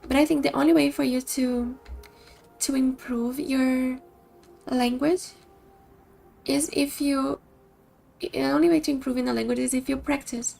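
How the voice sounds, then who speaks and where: Brief: female streamer, Brazilian Portuguese accent, pitched high, heavy vocal fry, casual and searching. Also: steady tone, unhurried, pauses often, mellow. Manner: thoughtful, restarts sentences while searching for words. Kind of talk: casual chat with stream viewers.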